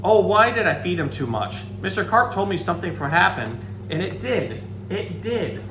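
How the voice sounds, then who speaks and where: Guy doing a worried little kid from a picture book, pleading, swoopy pitch, echoey. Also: big pitch swings, moderate pace. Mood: anxious. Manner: pleading, exclamatory. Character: worried, excitable young boy with an overgrown pet fish. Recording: echoey room, background hum.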